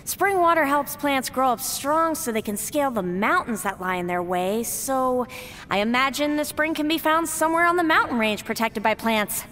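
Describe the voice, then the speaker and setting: A woman's voice: high-pitched, expressive, moderate pace. A woman playing an exuberant, theatrical giant flower who guards a music hall in a video game. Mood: effusive. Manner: theatrical, effusive. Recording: long reverberant hall effect, faint noise.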